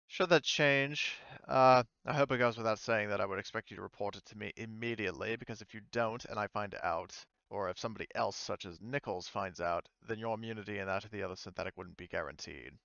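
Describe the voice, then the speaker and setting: A man plays a composed, stern woman in law enforcement for a tabletop game. The voice is low and gravelly, with formal, measured phrasing and veiled menace. The sound is dry and a bit dull. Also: fairly bright tone, dry recording. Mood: coolly threatening. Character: stern, composed female marshal official making veiled threats.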